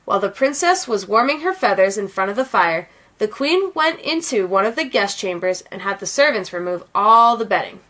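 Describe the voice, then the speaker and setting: A woman reading a children's picture book aloud as an expressive storyteller. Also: mid-range voice, normal speed. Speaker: read-aloud storyteller, teacher.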